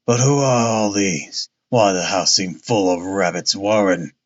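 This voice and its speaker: A man voicing a stout, pompous police inspector with a husky, wheezing tone, blustering and repeating himself. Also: low voice, unhurried, muffled recording, pitched below the performer's own voice.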